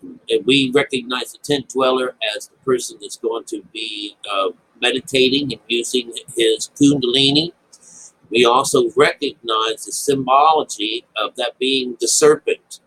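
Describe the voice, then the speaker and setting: A man giving an informal spoken Bible commentary as an amateur teacher, rambling and halting, repeating phrases, with folksy, colloquial wording and the odd mild swear word. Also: medium pitch, steady tone, a bit bright, a little hiss or hum, rough recording.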